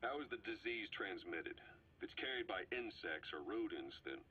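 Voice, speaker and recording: A man's voice: on the low side, sing-song, moderate pace, sharp tone, slight background noise. An actor playing a blunt, practical male soldier in a video game, speaking in a matter-of-fact way, on slightly dull game audio.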